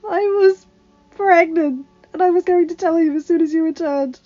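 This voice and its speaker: A woman voicing a tender, grieving young wife in an emotional, breathy, sobbing delivery for a story reading. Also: extremely high pitch, normal speed, warm tone, lo-fi sound, voice pushed up. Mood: sobbing, guilty.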